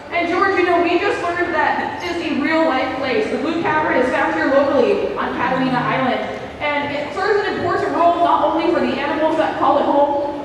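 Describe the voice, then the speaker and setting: A high-pitched woman speaks as an aquarium educator, addressing her audience directly in a conversational, interactive way. Her amplified voice rings with long, heavy echo in a large hall and sounds distant, with clear noise around it. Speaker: aquarium educator and show presenter. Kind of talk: live educational presentation to an audience. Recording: echoey hall, far from mic, background noise.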